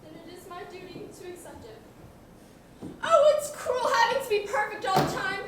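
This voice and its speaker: A martyred, coaxing washing machine voiced by a woman in a student stage comedy, pleading in a very high voice with big melodramatic swoops. It sounds far off in an echoey hall with background noise. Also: big pitch swings, normal speed. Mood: martyred, self-pitying. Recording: distant mic, echoey noisy hall.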